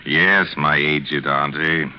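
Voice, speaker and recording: A man's voice: extremely low pitch, fairly even intonation, takes it slowly. An actor in 1940s radio style playing a flippant, pompous theater man, theatrical and florid in his drawling sarcasm. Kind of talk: 1940s radio acting and narration. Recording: muffled old radio broadcast recording.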